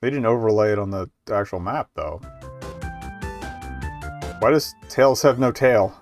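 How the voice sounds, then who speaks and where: A man's voice: lowish voice, a little slow, soft background music. A man giving casual live game commentary as a streamer, rambling and hesitant, with muttered asides.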